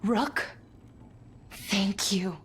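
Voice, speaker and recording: A gentle, battle-weary heroine of a fantasy game. She talks slowly and softly with earnest resolve. Her mid-pitched voice sits over faint ambience. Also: steady tone, slight background noise. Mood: determined warmth. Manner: slow, soft, earnest. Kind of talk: dramatic video game acting.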